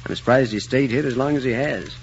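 An actor playing a kindly older family friend, reasoning and gently persuasive, in an old film drama. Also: male voice, medium pitch, brisk, lightly acted. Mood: reasoning, concerned. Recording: muffled, noisy old film soundtrack.

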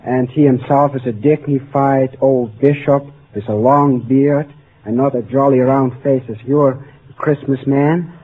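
A man's voice: medium pitch, fairly even intonation, a little slow, slightly rough. A man in his early twenties with a Dutch accent, answering interview questions as a student contestant. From Netherlands. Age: early 20s. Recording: tinny old broadcast, faint noise.

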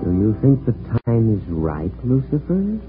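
Murderous husband, intense, theatrical, swooping pitch, tinny vintage radio, loud music. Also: male voice, huge pitch swings, a little slow. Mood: conspiratorial. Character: murderous husband conferring with his sinister puppet. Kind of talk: old-time radio drama acting.

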